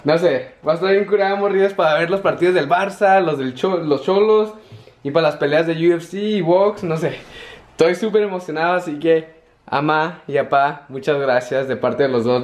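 A young man, talking to a handheld camera in his apartment in an upbeat, slangy way. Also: a little high. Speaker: vlogger. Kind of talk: casual vlog chat. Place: small apartment indoors. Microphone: handheld camera at arm's length.

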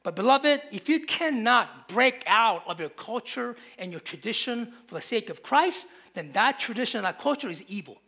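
A man's voice: very high voice, expressive, moderate pace, few pauses, sharp tone. A man, preaching a sermon from a church pulpit with emphatic, repeated phrases. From Korea. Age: 50s. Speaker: pastor.

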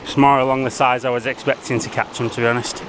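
A young man filming himself fishing from sea rocks, chatting excitedly as he reels in fish, recorded on a head-mounted action camera. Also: mid-range voice, quick pace. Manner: excitable, laughing, chatty while reeling in fish. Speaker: angler filming his own fishing trip. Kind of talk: casual running commentary. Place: outdoors on rocky sea shore by waves. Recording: action camera with loud wind and surf.

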